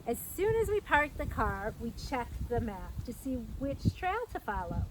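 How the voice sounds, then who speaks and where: A woman's voice: on the high side, sing-song, normal speed. A middle-aged woman reads a picture book aloud to young children outdoors, adding simple explanatory asides. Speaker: storytime reader, parks staff. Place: outdoors at an open trailhead by a fence. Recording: outdoor recording with clear background noise.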